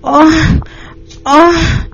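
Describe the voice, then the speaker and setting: Woman acting out a moaning, high-pitched uninhibited wife. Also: little pitch movement, very slow, fairly bright tone, exaggerated voice. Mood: loud, ecstatic. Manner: breathy exclamations, moaning, urgent. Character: uninhibited, demanding married woman in adult story. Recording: slightly dull, lo-fi recording.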